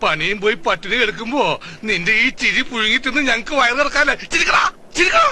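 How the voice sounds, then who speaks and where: An actor playing a mocking, sarcastic friend in a comedy clip. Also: male voice, very high-pitched, lively intonation, fast talker, crowd noise.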